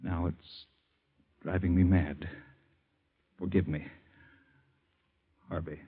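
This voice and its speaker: An actor playing a tormented man in a 1940s radio drama, reading solemnly and haltingly. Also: low-pitched, little pitch movement, husky, full character voice. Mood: tormented, grave. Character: grave man reading a tormented suicide note. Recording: tinny, narrow old radio sound.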